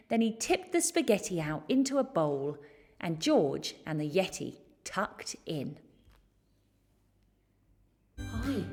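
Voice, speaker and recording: A woman reading a children's picture book aloud as storyteller, expressive and measured. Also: mid-range voice, slightly rough.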